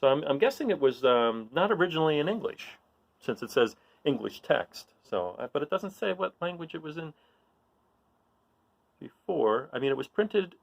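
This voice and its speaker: A man reading a children's picture book aloud in an informal, expressive way, putting questions to young listeners and encouraging them. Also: fairly high pitch, normal speed, creaky. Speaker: read-aloud storyteller.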